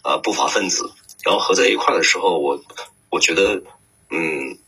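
A man's voice: sits low, normal speed, sharp tone, slightly rough, rough recording. A man working as a voice actor, giving reflective interview answers and hesitantly searching for words. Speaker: voice actor, guest.

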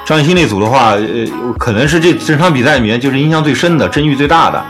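A man talks quickly with a lively, swinging pitch, explaining his views as host of a casual music discussion. He is opinionated and conversational, with music playing behind him. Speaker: podcast host.

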